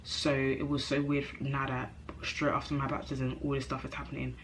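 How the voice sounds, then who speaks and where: A young woman vlogging to camera in a chatty, animated way, her nose a bit stuffy from a cold. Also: a bass voice, normal speed, a little hiss or hum. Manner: chatty, animated, self-interrupting, slangy. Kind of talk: casual chat to camera.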